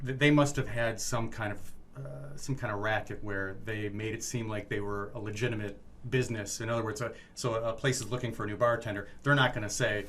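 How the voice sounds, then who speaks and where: A man's voice: fairly low pitch, steady tone, a little fast, fairly bright tone. A man chatting casually as a conversation partner, with some background noise. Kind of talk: casual chat.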